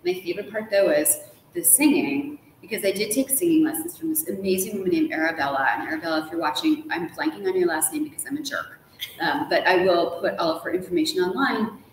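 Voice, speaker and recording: Animated, low-pitched woman in conversation. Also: fast talker. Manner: gushing, chatty, frequent "like". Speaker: author, interview guest. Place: large hotel room, indoors. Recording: roomy echo with background noise.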